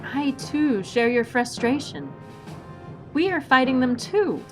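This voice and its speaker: A woman's voice: high-pitched, expressive, slow pace, over music. A woman playing a courteous, unruffled swashbuckling heroine with a wry, philosophical manner in an audio drama. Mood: earnest. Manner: polite, unruffled, wryly philosophical. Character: composed, courteous, witty female masked swashbuckling heroine.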